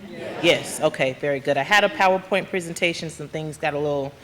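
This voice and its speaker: A woman from Georgia, a labor activist, giving a loud and earnest talk from the heart to a church congregation. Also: low voice, a little fast. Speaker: guest speaker, labor union activist. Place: church sanctuary. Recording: long hall reverb, faint room noise.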